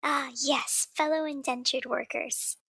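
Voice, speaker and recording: A woman's voice: high voice, steady tone, unhurried, pushed and bright, dry recording, heavily acted. A woman voicing a polite, scheming rebel droid in a tabletop game, with formal, precise wording. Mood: polite, persuasive.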